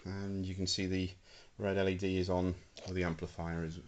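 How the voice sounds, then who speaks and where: A man's voice: deep voice, little pitch movement, moderate pace, muffled recording, a little hiss or hum. A man giving a casual, hesitant demonstration of homemade electronics, filmed on a handheld camera indoors. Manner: hesitant, many ums, talking while handling gear. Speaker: hobbyist prop maker. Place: indoor room with a wooden floor. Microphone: handheld camera mic.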